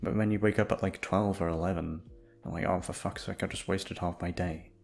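Deep, gravelly, sleepy young-sounding man's ramble. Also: steady tone, a little fast, darkish tone, soft background music. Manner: offhand, hesitant, sweary. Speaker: audio creator talking to listeners. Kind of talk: casual rambling chat to listeners.

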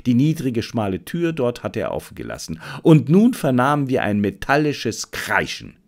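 Male audiobook narrator, mid-pitched, highly animated, dramatic and suspenseful. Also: moderate pace, gravelly. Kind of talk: scripted narration of a detective adventure.

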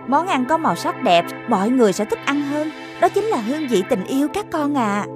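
A woman's voice: high voice, sing-song, brisk. A woman playing a doting, motherly figure in a children's cartoon. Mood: tender. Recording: music behind the voice.

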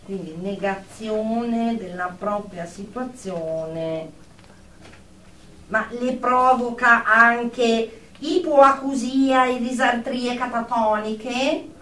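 Stern, high-voiced psychiatrist played by a woman. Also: extremely slow, fairly bright tone. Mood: clinical, probing. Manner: clinical, pompous, scolding. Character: stern, officious female psychiatrist assessing a patient. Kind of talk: radio drama acting. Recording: roomy, distant, noisy amateur recording.

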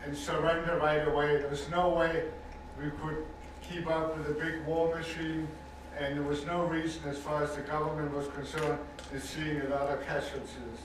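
An older man with a Danish accent speaks with earnest, measured weight, often repeating a word for emphasis as he addresses a listening audience. His voice sits a little high for a man. It is picked up from a distance in a reverberant lecture hall with a faint hiss underneath. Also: little pitch movement. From Denmark. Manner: earnest, measured, stresses points by repeating words. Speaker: Holocaust survivor speaker. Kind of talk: personal testimony talk to an audience. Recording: echoey hall recording from far off.

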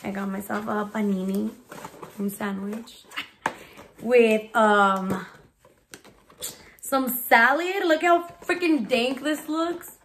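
A woman's voice: fairly high pitch, slow pace, pauses often, fairly bright tone, a little hiss or hum. Chatty slangy young woman vlogger. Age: 20s. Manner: slangy chatty, drops Spanish words. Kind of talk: casual Q&A vlog. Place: small bedroom. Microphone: phone camera at arm's length.

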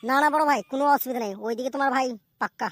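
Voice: high-pitched, very fast, a bit bright, no room sound. A performer voicing a cheeky, crude, boastful younger brother in a slapstick comedy cartoon.

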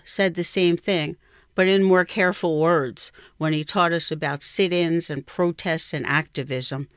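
A woman's voice: lowish voice, no echo, clean studio sound. A woman in her sixties reading her own scripted first-person memoir aloud. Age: 60s.

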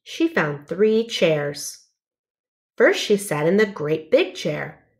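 A woman's voice: lowish voice, very animated, takes it slowly, darkish tone. A woman narrating a children's storybook, reading aloud carefully and expressively.

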